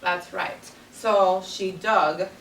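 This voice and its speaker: A woman's voice: mid-range voice, fairly even intonation, extremely slow. Echoey, far-off woman teacher reading aloud. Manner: prompting with questions, echoing pupils' answers. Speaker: primary school teacher. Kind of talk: read-aloud lesson with questions to young children. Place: carpeted classroom full of young children. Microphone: distant camera microphone. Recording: echoey, distant, noisy classroom pickup.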